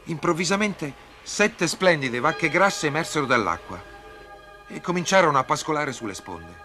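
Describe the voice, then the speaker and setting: Casting: solemn, commanding Egyptian king in animation, wide expressive pitch, forceful tone. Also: moderate pace, faint music behind. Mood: grave, narrating dreams. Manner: solemn, declamatory. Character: commanding Egyptian pharaoh, grave and troubled ruler. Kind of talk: dubbed cartoon acting.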